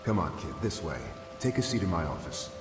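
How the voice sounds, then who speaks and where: Weary, compassionate game policeman, very low register, reverberant, rainy recording. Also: big pitch swings, a little fast. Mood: gentle. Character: kindly, weary male city police officer. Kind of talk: video game cinematic acting. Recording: echoey, rain and loud music behind.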